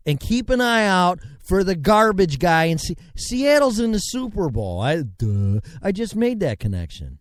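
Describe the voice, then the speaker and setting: A man's voice: high-pitched, very animated, normal speed, few pauses, no echo. A man hosting a show, ranting sarcastically and rambling through news items, swinging between mutters and outbursts. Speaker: show host. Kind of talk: ranting commentary and news reading.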